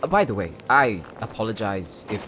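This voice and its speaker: A man's voice: on the low side, big pitch swings, moderate pace. A man playing a polite, articulate demon hunter who likes to show off in a fantasy audio drama. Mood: polite, eager. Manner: courteous, articulate, explanatory. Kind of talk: fantasy audio roleplay acting. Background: music.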